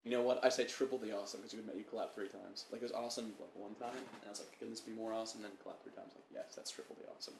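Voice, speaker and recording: A man's voice: lowish voice, steady tone, very fast, vocal fry, few pauses, a little mellow. A young man co-hosting a casual podcast, rambling and profane, with lots of stammered restarts. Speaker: podcast co-host. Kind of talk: casual banter. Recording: echoey room with faint noise.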